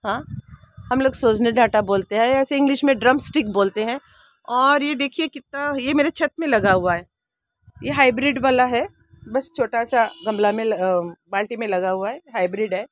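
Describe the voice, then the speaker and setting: Older woman in her 60s, slightly high voice, chatty and homely, close dry phone sound on a rooftop. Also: moderate pace, no room sound. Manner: chatty, homely, speaks directly to viewers. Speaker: vlogger. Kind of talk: casual rooftop garden tour. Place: outdoor rooftop garden. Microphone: handheld phone camera.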